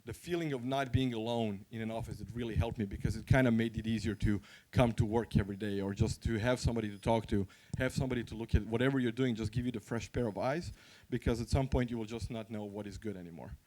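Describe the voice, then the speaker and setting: A man's voice: on the low side, fairly even intonation, quick pace, hardly any pauses, warm tone. Croatian-accented man giving an informal talk. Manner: candid, conversational, anecdotal. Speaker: conference speaker and freelancer.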